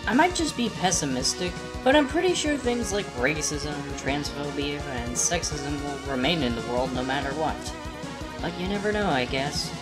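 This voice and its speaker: Female commentator, low register, casual and hesitant, clear music underneath. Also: animated, moderate pace. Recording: music behind voice.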